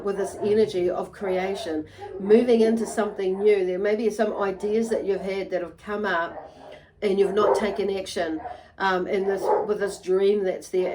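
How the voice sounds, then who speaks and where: A woman giving an unscripted tarot reading to viewers, halting and meandering, often repeating and rephrasing herself as she goes. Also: medium pitch, background noise. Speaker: tarot reader and channel host.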